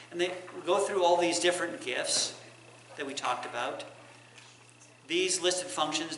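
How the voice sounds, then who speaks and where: A middle-aged man teaches a Bible lesson in an explanatory way, restating his points as he goes. His voice is slightly high and very bright. The church room echoes around him, with some background noise. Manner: explanatory, repeats and rephrases points. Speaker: preacher giving a Bible lesson. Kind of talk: teaching sermon. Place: church sanctuary, indoors.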